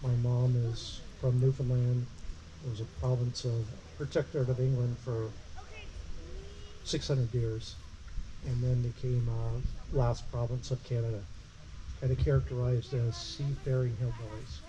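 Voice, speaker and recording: A man who is a state parks district superintendent, speaking on a panel in a measured, personal way into a handheld mic. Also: flat delivery, background noise. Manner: says he has a low voice. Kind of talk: panel remarks at a public meeting. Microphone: handheld microphone.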